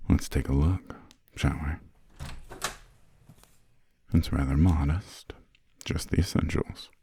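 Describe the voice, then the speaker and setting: A man playing a refined, imperious vampire lord in an ASMR roleplay, speaking in a hushed, formal, slightly haughty way with a breathy, gravelly low voice. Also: fairly even intonation, slow, a little hiss or hum, exaggerated voice. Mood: politely aloof. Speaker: employer briefing a new assistant.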